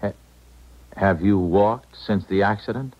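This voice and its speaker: An actor playing a cautious, reasoning colleague and confidant in a 1970s radio drama. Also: male voice, lowish voice, a little slow. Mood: calm. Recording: dull 1970s radio recording, music behind.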